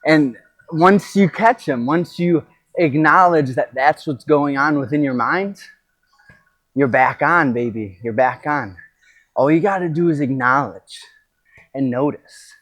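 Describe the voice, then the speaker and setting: A man with a fairly high, husky voice delivers an unscripted motivational talk. His phrasing is emphatic and halting, with pauses and repeated words, in the style of a coach hosting his own podcast. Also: fairly bright tone.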